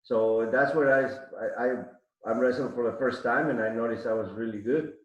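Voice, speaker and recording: A man's voice: medium pitch, normal speed. Middle-aged man, echoey muffled audio. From Mexico City, Mexico. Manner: rambling, frequent restarts and fillers. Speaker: podcast guest, arm wrestler. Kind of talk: casual interview storytelling.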